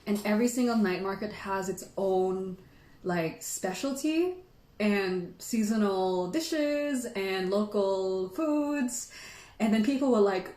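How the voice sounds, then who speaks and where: A woman hosts a casual livestream chat in an echoey room. She has a mid-range voice and rambles along in an animated, informal storytelling way. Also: mid-range voice, slow pace. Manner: animated, rambling, giggly, frequent "like". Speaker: livestream host.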